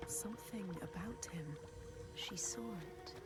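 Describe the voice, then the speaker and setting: Creepy whispery head-voice done by an actress, urgent little fragments, big game score over it. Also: female voice, mid-range voice, unhurried, over loud music, natural voice. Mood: hushed, accusing. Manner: breathy whispering in short fragments. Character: eerie female voices in a warrior's head, fearful, urgent, needling. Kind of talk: dramatic video game acting.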